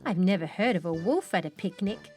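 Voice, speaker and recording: A woman's voice: lowish voice, expressive, a little fast, a little mellow, natural voice. A woman voicing a plucky, polite little girl in a children's storybook, firm and cheerful in manner, with faint music behind. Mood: amused.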